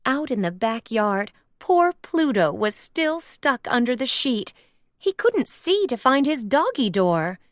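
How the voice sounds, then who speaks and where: Slow, animated woman storybook narrator. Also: a little high, no room sound, clean studio sound. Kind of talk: expressive children's read-aloud narration.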